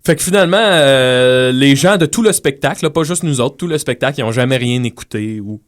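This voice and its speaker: Quebec comic guest, mid-range voice, wry storytelling. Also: male voice, mid-range voice, a little fast. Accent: Quebec French. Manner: joking anecdote teller. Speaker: comedian guest. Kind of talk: casual radio chat.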